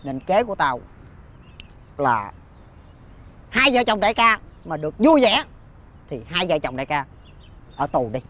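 An actor with a Southern Vietnamese accent playing a tipsy, foolish, cowardly drinking buddy in a folk-tale comedy drama, halting, repetitive and full of exclamations. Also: male voice, a little high, very animated, pushed and bright, slight background noise, exaggerated voice. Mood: sly, pompous.

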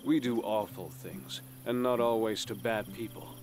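An actor playing a weary, grim assassin in an audio drama, speaking in a serious, measured, admonishing way. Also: male voice, fairly low pitch, noisy background, close to an everyday voice. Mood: grim warning. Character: weary, grim male assassin, bluntly cautionary.

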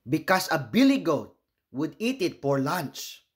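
A man reads a children's story aloud as its host. His voice sits a little high and moves with very animated intonation. He takes his time, delivering each phrase slowly and expressively. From Philippines.

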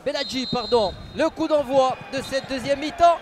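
A man giving passionate, excited live football commentary on the radio, often breaking into shouts. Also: extremely high pitch, moderate pace. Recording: stadium radio broadcast, loud crowd noise. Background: noisy football stadium crowd.

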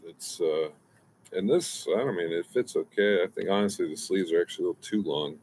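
Relaxed low-voiced man rambling on webcam. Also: a little fast, slight background noise. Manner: relaxed, meandering, many restarts and asides. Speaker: fragrance reviewer. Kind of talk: casual rambling review. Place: outdoors by a brick wall, smoking.

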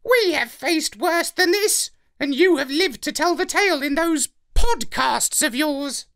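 Very high, squawking, bossy parrot. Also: male voice, lively intonation, a little slow, creaky, a bit bright, full character voice. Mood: scolding. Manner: curt, dismissive. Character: bossy, scornful know-it-all male parrot.

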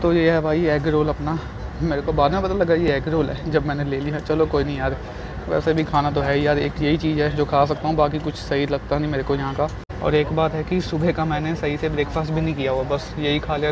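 A man's voice: on the high side, muffled recording. A young man chatting to the camera as a travel vlogger, filming himself with a phone held at arm's length on a railway platform and inside a train. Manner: chatty, talks straight to the camera while walking. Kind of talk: casual travel vlog. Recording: noisy station and train sounds.

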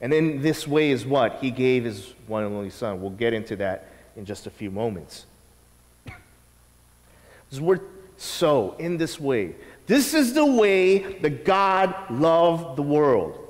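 A man in his thirties preaching a sermon from the stage of a church sanctuary, teaching with lively asides. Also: mid-range voice, slow pace. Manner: animated teaching with acted quotes and asides. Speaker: pastor. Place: church sanctuary, large indoor room. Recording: long reverb of a church hall.

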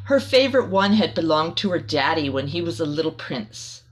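A woman's voice: low voice, sing-song, normal speed, poor audio. A middle-aged woman reads a children's picture book aloud expressively, with casual asides to young listeners, in a small room.

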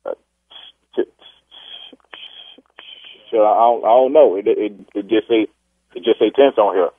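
Husky stuttering man on the phone. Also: lowish voice, no echo. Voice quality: heavy stutter. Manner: blunt, repetitive, halting. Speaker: prank call target, customer. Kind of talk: casual profane phone conversation. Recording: tinny telephone line.

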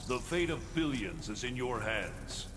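A man playing a stern thunder god who protects his realm, speaking in a solemn, measured, formal way in fighting game dialogue. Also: medium pitch, very animated. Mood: solemn, commanding. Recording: loud music and effects behind the voice. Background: game music and sound effects.

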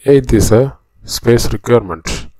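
A man narrating a slide lecture as a technical instructor, explaining step by step in halting phrases. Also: mid-range voice, lively intonation, dark tone, no echo.

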